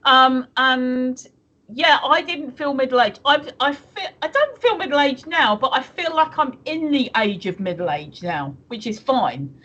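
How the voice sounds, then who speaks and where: A woman's voice: high voice, animated, measured pace, sharp tone. A woman in her fifties co-hosting a live stream, chatting cheekily with some swearing. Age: 50s. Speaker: stream co-host. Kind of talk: casual chat. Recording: dull lo-fi stream audio.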